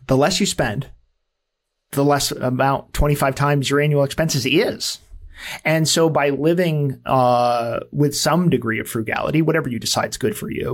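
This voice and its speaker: A man in his forties, hosting a podcast and explaining ideas in an easygoing, self-deprecating way with frequent fillers. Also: medium pitch. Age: 40s. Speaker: podcast host. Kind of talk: casual explanatory talk.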